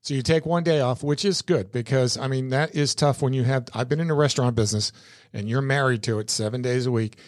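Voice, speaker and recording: A man hosting a small local podcast, talking in a friendly, rambling way and doubling back on his words. His voice sits at a middling pitch and he chats easily with his guest. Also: a little fast, dry recording, clean studio sound. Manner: chatty, folksy, repeats words while thinking. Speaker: podcast host. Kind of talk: local business interview. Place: podcast studio inside a shopping mall.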